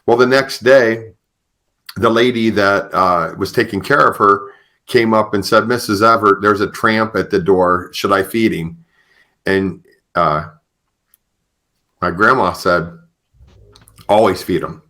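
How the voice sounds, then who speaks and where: A man hosting a podcast, telling stories conversationally in a halting way with repeated phrases. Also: on the low side, steady tone, pushed and bright. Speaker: podcast host.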